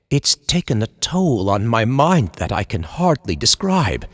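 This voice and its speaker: Drenched in long reverb, a man acts out a tormented horror writer confessing his slide into madness. He speaks slowly and eloquently, with theatrical swings of pitch, in the manner of an old time radio chiller. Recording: long reverberant hall echo.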